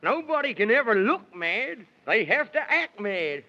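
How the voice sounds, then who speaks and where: A man playing the jolly, excitable Man in the Moon in a 1930s children's radio serial, full of folksy exclamations. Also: high-pitched, measured pace, exaggerated voice. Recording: muffled 1930s radio recording.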